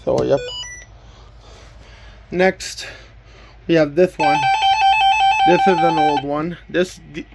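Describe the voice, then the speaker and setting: A man's voice: a little high, steady tone, takes it slowly, gravelly, long pauses, over music. A man casually presenting and demonstrating items from his collection in a plain, matter-of-fact way. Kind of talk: casual show-and-tell demonstration.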